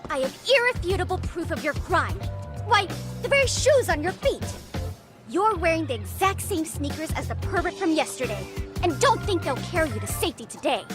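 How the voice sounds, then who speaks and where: High dramatic schoolgirl detective, accusing and formal, swoops around a lot, game cutscene. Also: sing-song, a little fast, music in the background. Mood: smugly accusing. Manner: theatrical, accusatory, formal wordy phrasing. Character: haughty, dramatic teenage schoolgirl amateur detective. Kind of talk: video game voice acting.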